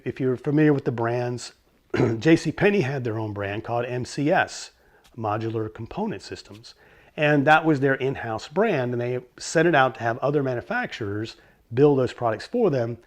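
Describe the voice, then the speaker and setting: A middle-aged man with a hoarse voice from a cold, hosting a casual explainer about vintage stereo gear and rambling a bit with fillers and self-corrections. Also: mid-range voice, expressive, normal speed. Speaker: host of a vintage stereo channel.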